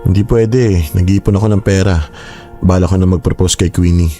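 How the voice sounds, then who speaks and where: A man playing a young teacher and proud older brother who turns defensive and quickly flares into shouting, in a radio drama. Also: low-pitched, fairly even intonation, faint music behind, natural voice. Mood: calm.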